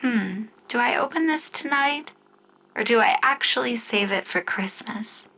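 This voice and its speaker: A woman's voice: fairly high pitch, expressive, measured pace, gravelly, pushed and bright, exaggerated voice, poor audio. A woman playing a friendly young woman home alone in a radio drama, talking in a casual, conversational way. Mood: curious.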